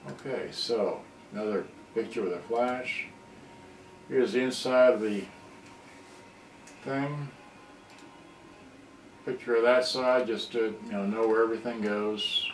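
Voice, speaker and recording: A man giving casual, off-the-cuff commentary as a repair hobbyist, talking in a rambling, halting way. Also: lowish voice, soft background music. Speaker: electronics repair hobbyist presenting. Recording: roomy, distant mic, faint noise.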